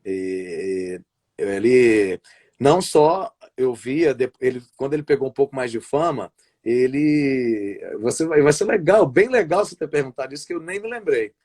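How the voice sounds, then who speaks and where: A man's voice: medium pitch, lively intonation, normal speed, a little mellow. A Brazilian man, a wrestling commentator, chatting casually over a video call, rambling and often restarting his sentences. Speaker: wrestling commentator and play-by-play announcer. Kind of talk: casual interview chat over a live stream.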